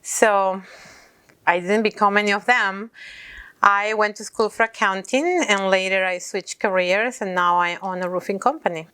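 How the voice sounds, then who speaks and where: A woman's voice: mid-range voice, steady tone, moderate pace, sharp tone, clean tone. A woman from Peru who owns a roofing company, speaking earnestly and reflectively in an interview. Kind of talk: interview to camera.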